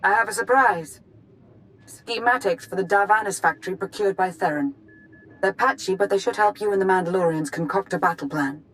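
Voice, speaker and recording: A woman's voice: medium pitch, brisk, a bit bright, close to an everyday voice, low-quality recording. An actress playing a shrewd, calculating female adviser in a video game, speaking formally and articulately. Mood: pleased.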